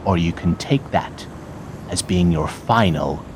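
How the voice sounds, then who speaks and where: A man's voice: low voice, slow pace, background noise. A man playing a ruthless corporate bank executive in a radio play, hard, emphatic and condescending. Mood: hard, menacing. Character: blunt, ruthless male corporate bank executive delivering bad news.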